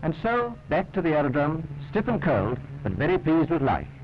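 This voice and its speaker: A man's voice: a little high, very animated, normal speed, highs cut off, a little hiss or hum. A British man narrating a 1940s wartime newsreel in a wry, clipped, understated manner, on an old film soundtrack.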